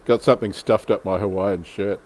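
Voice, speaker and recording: A man with a fairly low voice hosts a casual livestream chat. He talks in a joking, self-deprecating way and swears a little. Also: fairly even intonation, a little fast, background noise. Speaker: livestream host.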